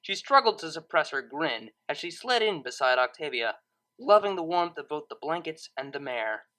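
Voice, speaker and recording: A woman reading a story aloud as narrator, in expressive scripted narration. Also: sits low, normal speed, poor audio.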